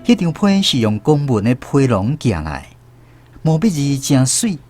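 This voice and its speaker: A man's voice: medium pitch, very animated, measured pace, music in the background. A man with a Taiwanese accent narrating a first-person story expressively for radio drama.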